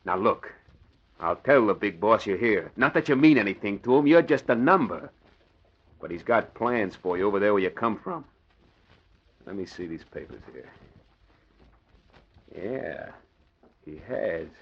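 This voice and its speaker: A man playing an excitable, bossy gangster henchman with slangy tough talk, in 1940s radio crime drama style. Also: fairly low pitch, a little slow, pauses often, husky, full character voice. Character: excitable small-time male gangster henchman, bossy and suspicious. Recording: dull old 1940s radio recording.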